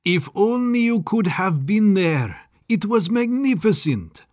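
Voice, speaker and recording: A man's voice: high voice, big pitch swings, takes it slowly, a little mellow, pitched above the performer's own voice, exaggerated voice. A man voicing a smug, gleeful and sinister scientist in an audiobook, with a sing-song, condescending, theatrical manner. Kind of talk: audiobook character acting.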